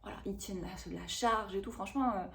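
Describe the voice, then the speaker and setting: A woman in her thirties chatting casually to camera about a shopping haul, rambling and expressive with lots of fillers. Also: mid-range voice, a little fast, gravelly. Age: 30s. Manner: chatty and rambling, with frequent fillers and self-corrections. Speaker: vlogger reviewing a shopping haul.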